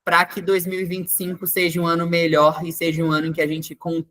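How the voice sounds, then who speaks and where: A man's voice: a little high, completely flat delivery, brisk, a bit bright. A young man hosting an online webinar, chatty, with frequent fillers and tag questions. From Minas Gerais, Brazil. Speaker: webinar host, experience coordinator. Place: online video call.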